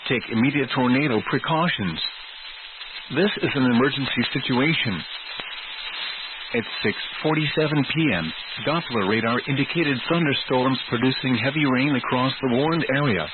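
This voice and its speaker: A man's voice: mid-range voice, moderate pace. Male weather radio announcer, heavy static. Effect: weather radio broadcast. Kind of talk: scripted emergency weather bulletin. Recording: noisy, narrow phone-like radio signal.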